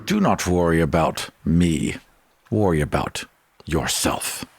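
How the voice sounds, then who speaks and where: A man's voice: sits low, animated, takes it slowly, husky, no echo, pitched below the performer's own voice, exaggerated voice. A man playing a grandiose, chivalrous sea captain bent on revenge, speaking in formal, theatrical declamations in a tabletop game. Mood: stern, resolute.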